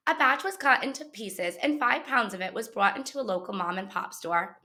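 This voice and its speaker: Bright-voiced woman reading to children. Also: fairly high pitch, lively intonation, a little fast. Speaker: teacher reading a book aloud. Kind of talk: children's picture-book read-aloud.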